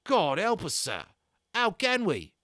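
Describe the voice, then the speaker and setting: Storybook toy soldier, acted by a man: corporal, raised pitch, swooping delivery, respectful yet grumbling, clipped and military. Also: wildly swooping pitch, takes it slowly, fairly bright tone, no room sound, clean studio sound, exaggerated voice. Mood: alarmed. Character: tiny British army corporal toy, deferential but grumbling.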